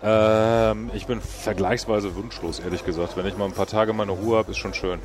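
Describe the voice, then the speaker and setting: A man's voice: fairly low pitch, steady tone. A man co-hosting a podcast, chatting casually with dry jokes, recorded in a busy parliament foyer. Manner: dry, joking, quick. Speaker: podcast co-host, journalist. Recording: noisy public lobby.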